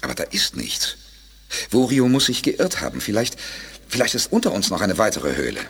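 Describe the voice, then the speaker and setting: A man playing a calm, authoritative space commander in a German audio drama, speaking in a composed, deliberate way and courteously probing for answers. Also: lowish voice, husky, full character voice. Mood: focused.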